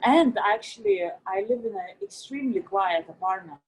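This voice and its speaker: A woman in her thirties from Lithuania, chatting casually on a call from an office, animated and full of "like". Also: medium pitch, normal speed, slightly rough, low-quality recording. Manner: animated, laughing often, lots of "like". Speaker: artist in a collaboration. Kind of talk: casual video-call chat. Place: office in Manhattan.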